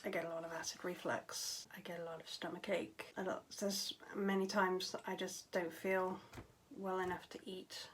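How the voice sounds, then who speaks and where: A young adult woman talking candidly to camera at home, tired and low on vocal power, her voice often dropping to a breathy near-whisper. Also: flat delivery, moderate pace, few pauses, a little hiss or hum. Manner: candid, matter-of-fact, with frequent fillers and trailing phrases. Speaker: vlogger. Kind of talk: casual talk to camera.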